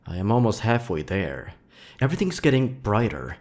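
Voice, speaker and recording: Low-pitched man narrating horror stories. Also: big pitch swings, moderate pace, a little mellow. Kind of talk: scripted dramatic narration of horror fiction.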